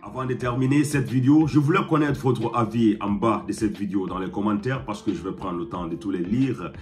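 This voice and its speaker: A man commenting on a video in a measured, questioning tone. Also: on the low side, a little mellow, soft background music.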